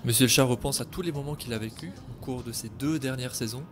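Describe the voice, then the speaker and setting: A man telling a scripted story with expressive, dramatic delivery at a middle pitch. Music plays clearly beneath his voice. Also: fast. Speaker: podcast creator and narrator. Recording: music playing behind.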